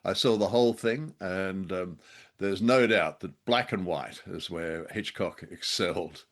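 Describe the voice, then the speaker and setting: Man, podcast co-host, fairly low voice, casual review chat, musing, hesitant phrasing. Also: unhurried.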